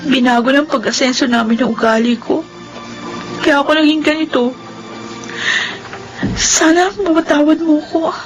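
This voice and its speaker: A woman's voice: high voice, fairly even intonation, moderate pace, long pauses, soft background music, natural voice, rough recording. An actress with a Filipino accent playing a remorseful young woman, once snobbish, pleading emotionally in a radio drama. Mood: tearful pleading.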